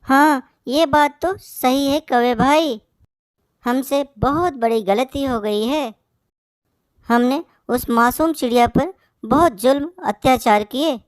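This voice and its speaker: Woman voicing a high, insistent cartoon bird. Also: no echo, studio quality. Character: bossy, demanding female bird. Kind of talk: Hindi cartoon story voice acting.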